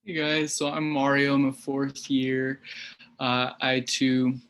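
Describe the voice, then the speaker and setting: A young man gives a short, casual introduction over a video call. His voice sits at a middle pitch and barely moves, so he sounds fairly flat throughout. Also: slow pace, clear, resonant tone. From California. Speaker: medical student.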